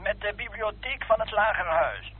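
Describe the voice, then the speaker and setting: A man's voice: high voice, animated, normal speed, narrow, tinny audio, slight background noise. An actor playing a polite, hesitant, fussy male library clerk speaking on the phone in a vintage Dutch radio play. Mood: apologetic, formal. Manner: hesitant, formal, obliging. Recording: thin telephone sound, vintage radio recording.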